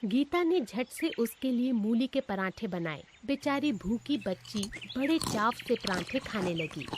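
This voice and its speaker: A woman's voice: fairly high pitch, darkish tone, slight background noise. A woman narrator reading a scripted moral story.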